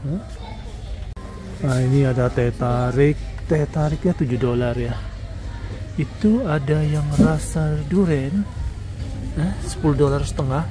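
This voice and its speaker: A man's voice: a little high, measured pace, long pauses, music in the background, rough recording. Chatty man narrating a shopping vlog. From Indonesia. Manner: chatty, points out prices to viewers. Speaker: vlogger. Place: shops and street outdoors.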